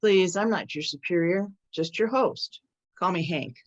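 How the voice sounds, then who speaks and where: A woman playing a courteous, humble police chief with measured authority in a screenplay table read. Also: lowish voice. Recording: dull, lo-fi audio.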